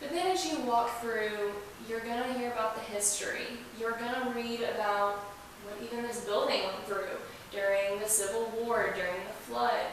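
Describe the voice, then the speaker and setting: A woman with a higher voice talks casually as an interview guest, stressing points with enthusiasm. The room is strongly reverberant and she is picked up from a distance, with faint hiss. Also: steady tone, normal speed. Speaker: interview guest, museum representative. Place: indoors in an old museum building.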